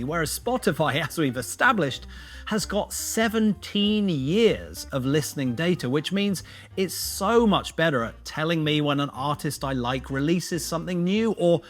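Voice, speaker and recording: A British man hosting a casual tech review vlog, chatty and self-deprecating, with jokey, slangy asides. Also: on the high side. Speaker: YouTube video host.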